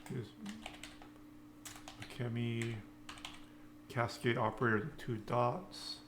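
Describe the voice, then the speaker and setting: A man giving an unscripted coding tutorial, thinking aloud in halting, short fragments. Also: medium pitch, flat delivery. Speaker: programming tutorial instructor. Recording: noisy with keyboard typing. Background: computer keyboard typing.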